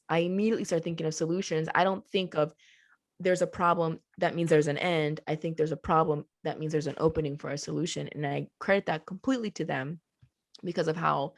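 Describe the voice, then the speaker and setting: A woman who grew up in Colombia telling her family story as a podcast guest, animated, laughing easily and slowing down for emphasis. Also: on the low side, dry recording. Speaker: podcast guest, business co-founder. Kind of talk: casual storytelling interview. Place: at home with a child nearby.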